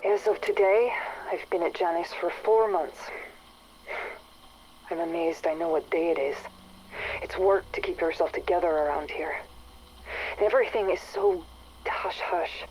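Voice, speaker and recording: Actress as nervous isolated scientist woman, kinda low voice, musing into a game log, slight hiss. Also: a little mellow, lightly acted. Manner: musing, guarded confiding. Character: anxious, isolated female research scientist confiding in a log. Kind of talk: acted audio log monologue. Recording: faintly noisy radio-like audio log.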